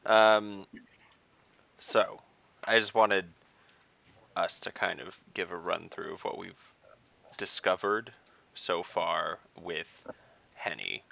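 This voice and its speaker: A man with a low, heavily creaky voice talks through a game casually. He has a bright, forceful edge and thinks aloud in a halting way, through dull call audio. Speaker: tabletop game player. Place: indoor room, video call.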